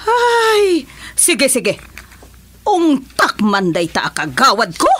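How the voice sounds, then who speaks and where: Woman playing a bossy, high-pitched village captain, Ilocano accent. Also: wildly swooping pitch, slow pace, over loud music, exaggerated voice. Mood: concerned. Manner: exclamatory, scolding. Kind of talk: Ilocano radio comedy drama acting.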